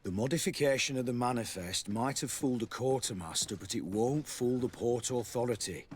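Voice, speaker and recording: An actor playing a worldly, chatty army quartermaster in a video game, a man with a husky voice. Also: medium pitch, brisk, slight background noise, lightly acted. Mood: matter-of-fact. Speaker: military quartermaster.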